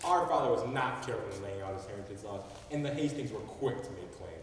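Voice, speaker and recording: A man's voice: fairly low pitch, lively intonation, quick pace, slight background noise, natural voice. An actor playing the ghost of a wronged young man who rambles and loses his place as he narrates a stage play. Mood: brooding. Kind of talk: amateur stage acting. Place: stage in a large hall. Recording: echoey hall from far off.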